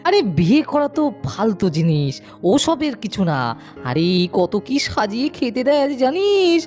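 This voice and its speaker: A woman's voice: high-pitched, very animated, normal speed, darkish tone, soft background music, voice pushed down. A woman voicing a daydreaming, cheeky little boy in a children's doll cartoon, chatty and emphatic. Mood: cheeky, wishful.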